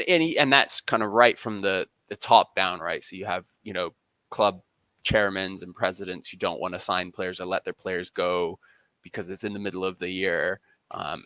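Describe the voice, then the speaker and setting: Bright, low-pitched man in conversation. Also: no room sound. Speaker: interview guest, football journalist. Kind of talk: casual interview conversation.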